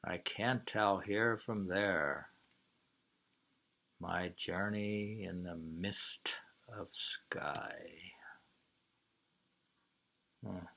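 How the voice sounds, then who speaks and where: Slow, creaky-voiced man reading poetry. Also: deep voice, bright, forceful tone, low-quality recording. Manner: halting and musing, muttering asides, drawn-out reading. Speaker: reader and commentator. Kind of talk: informal poetry reading with commentary.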